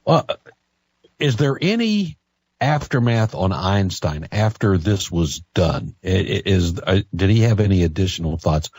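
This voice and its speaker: A man's voice: deep voice, big pitch swings, unhurried, darkish tone, slightly rough, dry recording. A man hosting a live call-in radio show, putting questions to his guest in a conversational style. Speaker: radio talk show host.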